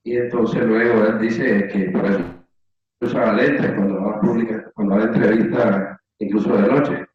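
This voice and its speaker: A man's voice: lowish voice, fairly even intonation, moderate pace. A man asking a lecturer long questions, hesitant and rambling with many fillers. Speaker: audience member asking questions. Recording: distant echoey lo-fi call audio.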